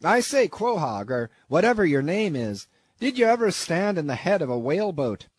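A man voicing a gruff, blustering old sea captain who shouts his orders in old-fashioned seafaring speech. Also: a little high, sing-song, normal speed, dry recording, pitched above the performer's own voice.

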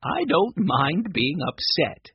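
A narrator voicing a kindly aunt in a children's storybook, measured and gently firm. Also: mid-range voice, sing-song, breathy edge, no room sound, lo-fi sound, exaggerated voice. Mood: kind, amused.

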